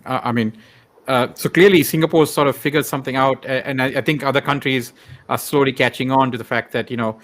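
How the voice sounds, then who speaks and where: A man's voice: medium pitch, fairly even intonation, quick pace, fairly bright tone. A man from Sri Lanka hosting a casual interview, rambling tentatively as he works toward his questions. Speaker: show host, interviewer.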